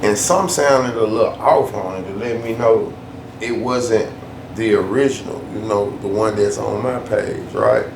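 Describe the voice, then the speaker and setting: Male vlogger, gravelly voice, loose slangy rant, echoey room with faint hum. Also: on the low side, normal speed. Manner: loose, slangy, repeats himself for emphasis. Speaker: YouTube storyteller. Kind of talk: casual ranting vlog.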